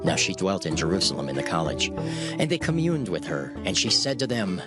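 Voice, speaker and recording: A man narrating scripture from a script in a solemn, measured manner. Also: low voice, big pitch swings. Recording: music bed under the voice.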